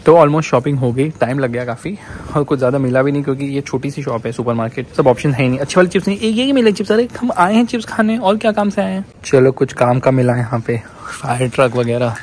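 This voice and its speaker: A man's voice: medium pitch, quick pace, slight background noise. A man in his thirties chatting and joking as he films a casual travel vlog, walking outdoors in the cold and through a shop with a handheld camera. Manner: chatty, joking, lively narration while walking and shopping. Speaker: vlogger. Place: outdoors in cold weather, a supermarket, a motorhome. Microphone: handheld action camera.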